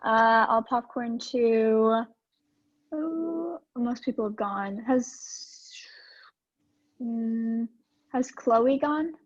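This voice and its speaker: A young woman from Oklahoma with a fairly high voice introduces herself, upbeat and searching with fillers. The sound is dull and lo-fi, as over a video call. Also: extremely slow, long pauses. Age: young adult. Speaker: first-year student.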